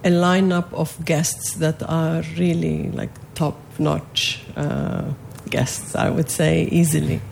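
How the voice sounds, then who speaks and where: A woman from Palestine whose first language is Arabic speaks slowly in a low, mellow register with a gravelly edge. She pauses often and restarts her sentences while answering an interviewer. A faint ambient hum sits underneath. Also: flat delivery. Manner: hesitant, many fillers and restarts. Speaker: festival organizer, guest.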